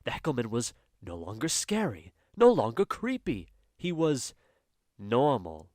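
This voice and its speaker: Man narrating drama with lively pitch. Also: measured pace, no echo, studio quality.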